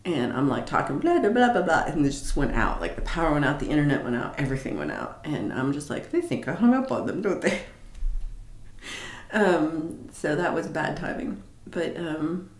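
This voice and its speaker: A woman hosting a casual livestream chat, rambling with many fillers and restarts, with a low voice. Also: very animated, moderate pace, roomy sound. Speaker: livestream host. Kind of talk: casual live chat reading comments.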